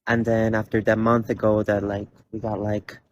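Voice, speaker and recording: Soft-spoken young man, flat delivery. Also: fairly low pitch, muffled recording, a little hiss or hum. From Costa Rica. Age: 20s. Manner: earnest, halting, many fillers and restarts. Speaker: college student. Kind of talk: personal video statement. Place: large indoor theater.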